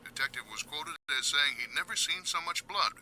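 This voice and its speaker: A man's voice: on the high side, expressive, fairly quick, crowd noise, close to an everyday voice, low-quality recording. An actor playing a grave male radio newscaster reading a scripted crime report.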